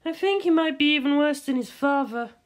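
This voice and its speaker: A flustered, bubbly woman captain as a female narrator plays her in an audiobook. Her voice sits high above a plain reading tone and tends to complain. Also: brisk, natural voice. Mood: put out. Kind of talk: audiobook character voice.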